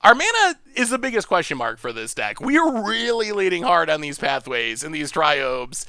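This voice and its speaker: A man from upstate New York, hosting a live game stream and chatting with viewers in an excitable, laughing way. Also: on the high side, normal speed, creaky, dry recording, clean studio sound. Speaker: streamer host.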